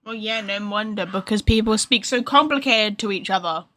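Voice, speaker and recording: A woman's voice: mid-range voice, normal speed, sharp tone. A woman game streamer giving casual, informal livestream commentary, reacting aloud as she plays.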